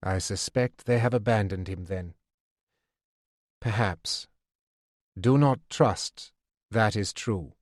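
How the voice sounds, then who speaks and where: A weary, failing old king speaks in a low, hushed voice, as a man acts him out in an audiobook. His delivery is slow and heavy, and the recording is close and dry. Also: no echo. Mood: weary, grave. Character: weary, failing aging king, grave and soft-spoken.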